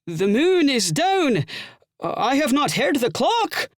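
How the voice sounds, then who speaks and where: Nervy young theater actor doing shakespeare, slow n dramatic, pitch all over the place. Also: male voice, very animated, no echo, studio quality, exaggerated voice. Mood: startled, shouting. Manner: startled, over-projected delivery. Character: jittery youthful male stage actor declaiming Shakespeare. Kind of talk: theatrical declamation.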